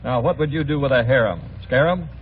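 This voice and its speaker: A man's voice: medium pitch, brisk. An American man hosting a 1940s radio variety show with playful scripted banter. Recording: old radio broadcast, narrow band, noisy.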